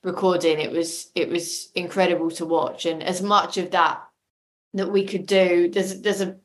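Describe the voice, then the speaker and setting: A woman with a slightly low-set voice talks in a casual interview. She is enthusiastic and effusive, speaking informally as a creative guest who loves the work. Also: little pitch movement, normal speed. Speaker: podcast guest, audio drama maker.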